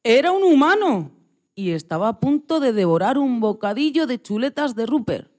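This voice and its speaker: Expressive woman narrating a children's story. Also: fairly high pitch, normal speed.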